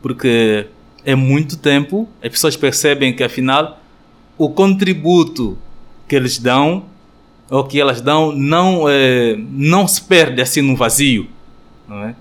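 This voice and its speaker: A man with an Angolan Portuguese accent, a friar giving a radio interview answer, thoughtful and hesitant with many pauses and fillers. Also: medium pitch. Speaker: friar, guest.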